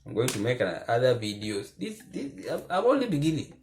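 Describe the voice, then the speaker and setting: Hushed, earnest man. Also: mid-range voice, sing-song, fairly quick, soft background music. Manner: earnest and preachy, repeats phrases for emphasis; speaks in a hushed voice because he records at night. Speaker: vlogger. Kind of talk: impassioned commentary to camera. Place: indoors at home at night.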